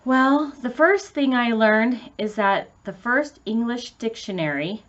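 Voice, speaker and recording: A woman's voice: fairly high pitch, unhurried, fairly bright tone, muffled recording. A woman voicing an earnest schoolboy giving an oral report in a storybook, in a plain manner. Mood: hesitant.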